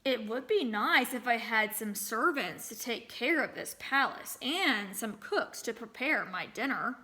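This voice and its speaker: A woman's voice: a little high, animated, measured pace, sharp tone. A woman reading aloud as a poor storybook flower seller, a wishful man growing greedy. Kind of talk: storybook read-aloud character voice.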